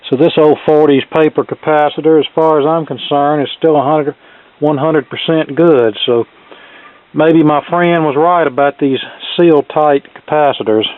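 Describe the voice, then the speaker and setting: A man's voice: a little high, steady tone, normal speed, no echo. A middle-aged man casually talking through a hands-on repair in a plainspoken, folksy way. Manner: plainspoken, folksy turns of phrase. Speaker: hobbyist electronics repairman presenting a video. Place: workshop. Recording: phone-like narrow, tinny sound, close and dry.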